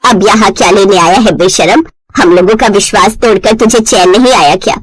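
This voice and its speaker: A woman's voice: mid-range voice, moderate pace, slightly rough, no room sound, pitched below the performer's own voice, natural voice. A woman voicing an emotional, wronged older mother-in-law in a story. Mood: angry.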